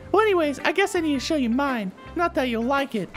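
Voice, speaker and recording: A woman voicing a bratty, smug, cutesy young schoolgirl in a visual novel, blunt and defensive. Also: high-pitched. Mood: snippy. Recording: game music under the voice.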